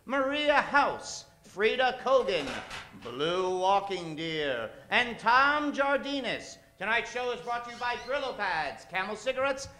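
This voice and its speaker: A man's voice: high voice, bright, forceful tone. A man hosting a live comedy radio show from a theater stage, bantering with the audience and drawing out names in a theatrical announcer style.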